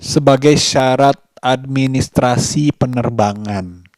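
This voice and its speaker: A man speaks at a slow pace in a casual vlog monologue. His delivery is halting and thoughtful, with gaps in the middle of sentences. His pitch is mid-range and the sound is dry, with very little room. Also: darkish tone, no echo. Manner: halting, reflective, with long pauses mid-sentence. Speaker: vlogger.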